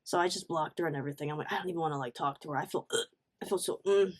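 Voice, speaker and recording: A woman giving a casual, confessional storytime as a vlogger, animated and emphatic, slangy, acting out what people said. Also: low-pitched, very fast. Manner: animated, emphatic, acts out reported dialogue; informal slangy talk with swearing. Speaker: vlogger telling a personal story.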